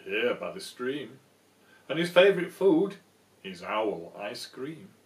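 Man voicing a small boastful storybook mouse, animated. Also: medium pitch, slow, recorded from a distance. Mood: ominous boasting.

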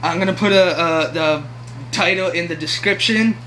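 A man's voice: sits high, moderate pace, background noise. A teenage boy hosting a casual vlog from home, joking and rambling with friends. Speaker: vlog host. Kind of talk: casual chat.

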